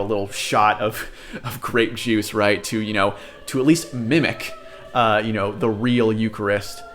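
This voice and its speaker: Chatty American man with a low voice. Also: a bit bright. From United States. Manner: chatty, earnest, many fillers and asides. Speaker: channel host. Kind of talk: casual direct-to-camera introduction.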